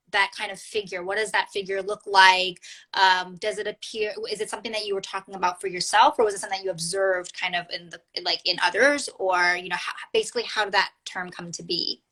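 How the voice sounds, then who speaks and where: A woman's voice: medium pitch, fairly even intonation, a little fast, sharp tone. A woman interviewing an author, asking long, thoughtful questions with many hedges. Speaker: interviewer, co-host. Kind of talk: conversational interview.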